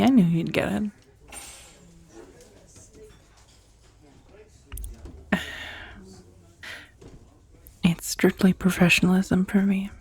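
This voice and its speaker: A woman playing a professional dominatrix on a blind date in a soft-spoken ASMR roleplay, breathy and often near a whisper, confiding and teasing. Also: mid-range voice. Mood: frank, reassuring. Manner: hesitant, confiding, teasing, with sighs. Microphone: close, dry ASMR microphone.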